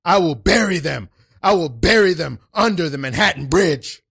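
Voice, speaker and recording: A man playing an intense, swearing man at a support-group meeting who loudly declares his love for everyone. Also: on the high side, lively intonation, normal speed, vocal fry, breathy edge, no room sound, studio quality, voice pushed up, heavily acted. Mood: fervent. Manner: loud, swearing, overly emotional declarations of love. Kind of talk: improvised comedy scene.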